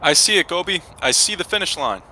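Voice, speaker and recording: A man's voice: high voice, lively intonation, a little fast, bright, forceful tone, slight background noise, full character voice. A man playing a kind, determined adult runner in a children's storybook read-aloud. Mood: determined, reassuring. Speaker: read-aloud reader voicing a character. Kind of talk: expressive children's storybook dialogue.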